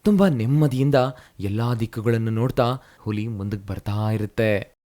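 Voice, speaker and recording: A man narrating a cartoon moral story in a steady, explanatory way. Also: lowish voice, moderate pace.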